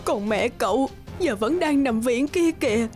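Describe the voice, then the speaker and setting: A woman's voice: a little high, expressive, unhurried, darkish tone, faint music behind. An actress playing an indignant, scolding middle-aged woman in a cartoon moral tale. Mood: scolding, upset.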